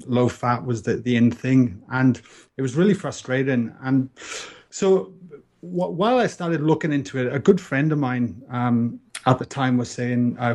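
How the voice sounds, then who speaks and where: A man's voice: mid-range voice, a little mellow. A Welsh man in his thirties, a podcast guest telling his story in a casual interview, earnest and reflective with frequent restarts. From Wales.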